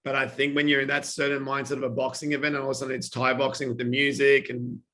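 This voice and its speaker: A man's voice: mid-range voice, completely flat delivery, quick pace, pushed and bright. A man co-hosting a podcast, talking in a blunt, teasing way. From Australia. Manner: blunt, teasing, swears casually. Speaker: podcast co-host. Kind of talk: casual chat.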